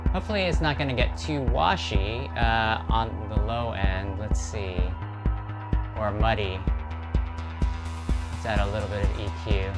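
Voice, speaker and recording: A man's voice: on the low side, measured pace, long pauses. Man thinking aloud over music. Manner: thinking aloud, trailing phrases, drops to a murmur. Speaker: music producer streaming a session. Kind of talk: casual live commentary while producing. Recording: music playing behind the voice. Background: electronic music.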